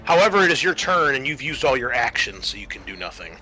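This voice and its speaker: A man's voice: medium pitch, very animated, fast, pushed and bright, soft background music, rough recording. A man acting as game master, casually narrating a tabletop roleplaying game and explaining rules in informal, sweary talk.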